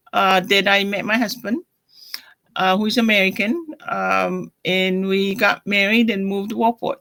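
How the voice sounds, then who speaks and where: An older woman from Tonga with a Tongan accent, telling her personal story in an interview in a reflective, halting way. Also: medium pitch, a bit bright. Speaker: deacon, interview guest.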